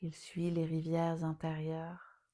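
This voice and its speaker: Slow, mellow woman guiding relaxation. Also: low voice, monotone. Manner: soothing, unhurried, phrases softly trailing into breathy near-whispers. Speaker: yoga nidra teacher. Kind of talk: guided relaxation meditation.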